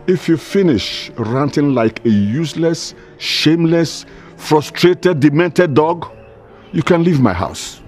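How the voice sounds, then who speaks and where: Scornful Nigerian father, lively pitch. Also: takes it slowly, faint music behind. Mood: contemptuous. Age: middle-aged. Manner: cutting, emphatic. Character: proud, scornful father defending his household. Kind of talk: film drama acting.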